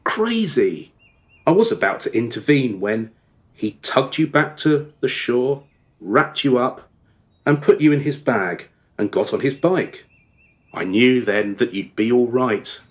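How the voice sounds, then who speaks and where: Evasive, uneasy uncle acted by a man, reluctant and halting, very unhurried delivery, radio drama. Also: medium pitch, animated, natural voice. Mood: confessing. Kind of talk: radio play acting.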